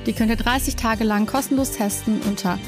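A woman's voice: a little high, fairly even intonation, fairly quick. A woman reading scripted promotional narration for a podcast advert. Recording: clear music bed under voice. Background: music.

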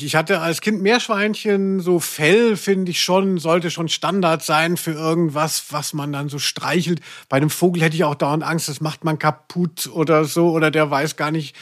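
A man with a slightly high voice talks casually as a podcast co-host. His delivery is wry and gently mocking, recorded dry with hardly any room sound. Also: no echo. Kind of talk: casual chat and commentary on radio plays.

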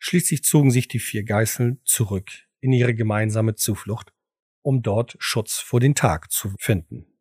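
A man's voice: fairly low pitch, unhurried, a little mellow, husky, dry recording, clean studio sound. A man narrating a scripted audio drama in a dramatic storytelling style. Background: music.

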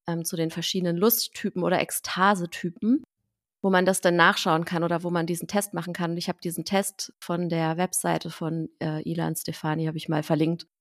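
A woman hosting a casual chat podcast, lively, laughing often and using many fillers. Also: fairly low pitch. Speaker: podcast host.

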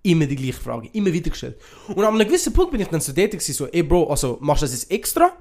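Higher-voiced young Swiss man, slangy. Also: wildly swooping pitch, racing, a little mellow. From Switzerland. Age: young adult. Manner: slangy, youthful phrasing with English slang. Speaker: podcast co-host. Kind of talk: casual chat.

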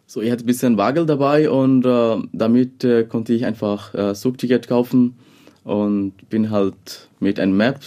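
A young man from Bangladesh, a second-language speaker, answering interview questions haltingly with many fillers. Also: medium pitch, fairly even intonation, warm tone. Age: young adult.

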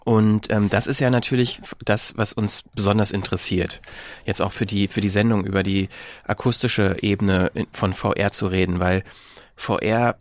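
A man's voice: lowish voice, steady tone, normal speed, dry recording. A man hosting a podcast, explaining in a conversational way and rephrasing as he goes. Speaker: podcast host.

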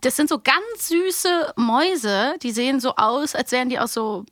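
A woman's voice: high-pitched, moderate pace, fairly bright tone, dry recording. A woman co-hosting a podcast about audio dramas, chatting casually in in a studio. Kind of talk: casual chat between co-hosts.

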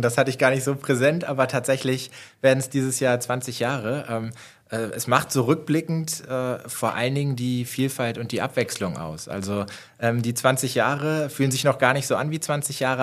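Brief: male guest, 40s, German accent, measured and reflective, pauses with "ähm", mid pitch. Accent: German (northern German). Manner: thoughtful, many fillers and restarts. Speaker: managing director, guest. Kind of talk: conversational interview answers.

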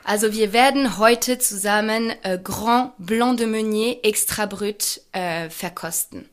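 A young woman from France with a French accent, a podcast guest who speaks warmly and hesitates often. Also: mid-range voice, measured pace. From Champagne, France. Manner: warm, hesitant, many fillers. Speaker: guest, champagne brand ambassador. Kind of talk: podcast interview.

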